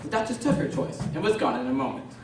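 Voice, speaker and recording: A woman's voice: low-pitched, sing-song, quick pace. A woman narrating a scripted storybook radio drama. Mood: storytelling. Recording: very echoey, distant, faint noise.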